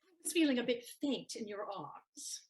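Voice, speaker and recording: Woman as a high-pitched, sharp-witted maid. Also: animated, moderate pace, natural voice. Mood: wary, polite. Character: quick-witted, teasing young lady's maid, sharp-tongued and wary. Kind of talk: theatrical period-comedy stage acting.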